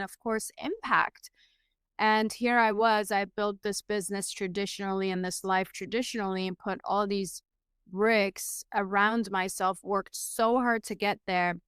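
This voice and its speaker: A woman's voice: mid-range voice, fairly bright tone, smooth, no room sound, clean studio sound. A woman in her forties, from Iran, telling her story as a guest in an interview, earnest and reflective with many fillers and restarts.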